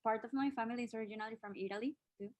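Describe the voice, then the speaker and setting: A woman's voice: on the high side, very fast, darkish tone, highs cut off. Friendly young woman. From Venezuela. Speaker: panelist, dental student. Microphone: video call.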